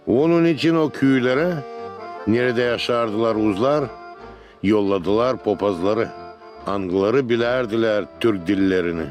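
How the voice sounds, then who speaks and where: A man playing a wise, measured father who tells history to children in an educational cartoon. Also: lowish voice, a little mellow. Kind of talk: cartoon storytelling, educational narration.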